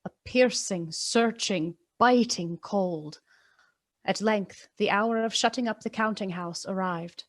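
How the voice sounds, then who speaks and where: Lady as radio play narrator, literary storytelling, measured, middle-pitched voice. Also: lightly acted. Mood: atmospheric. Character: storyteller narrator of a Victorian tale.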